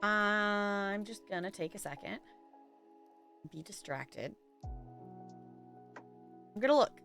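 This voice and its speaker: A woman's voice: mid-range voice, lively intonation, slow, creaky, music in the background. A woman live-streaming, chatting casually with her viewers in a rambling, giggly way.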